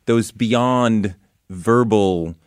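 A man's voice: on the low side, clean tone, no echo, clean studio sound. A man hosting a casual interview, who stumbles and restarts as he gropes for words. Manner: halting, stumbles over words. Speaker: podcast host.

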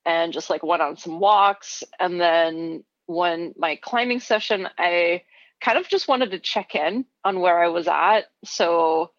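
A woman's voice: lowish voice, slow, no echo, dull audio. A woman climbing coach talking casually as a podcast guest, slightly stuffy, chatty with lots of fillers and laughter. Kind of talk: casual interview chat.

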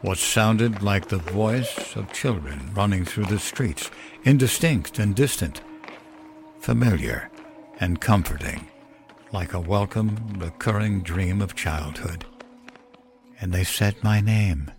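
Male horror narrator, low register, solemn and unhurried, music underneath. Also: expressive. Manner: measured, solemn, deliberate storytelling. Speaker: narrator of a horror fiction podcast. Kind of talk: scripted horror story narration. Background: music bed.

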